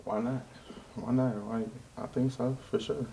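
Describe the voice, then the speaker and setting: A man's voice: mid-range voice, fairly even intonation, unhurried, creaky, mellow, slightly rough, low-quality recording. A man in his thirties on a podcast panel, laid-back and giving brief answers. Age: 30s. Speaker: panel guest. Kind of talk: casual panel discussion.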